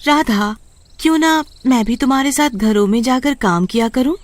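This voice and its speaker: A woman voicing a caring schoolgirl younger sister in a story, gentle and questioning. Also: sits high, moderate pace, no echo, voice pushed up, lightly acted. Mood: worried.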